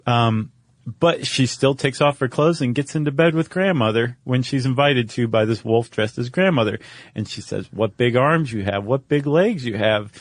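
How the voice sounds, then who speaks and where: A man's voice: medium pitch, normal speed, dry recording. A man co-hosting a podcast, telling stories in a casual, wry, joking way. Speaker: podcast co-host. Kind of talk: casual conversational storytelling.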